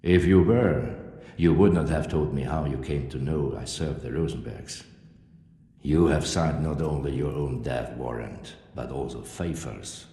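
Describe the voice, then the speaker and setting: Game cutscene: a threatening captive, extremely deep dark voice, echoing hall. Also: male voice, measured pace. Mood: menacing. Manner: cold, threatening. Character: menacing captive who served a noble house.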